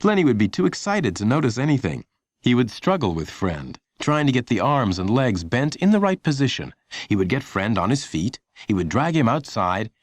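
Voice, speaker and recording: A man's voice: medium pitch, big pitch swings, moderate pace, no echo. A man reading a children's audiobook as a scripted story narrator.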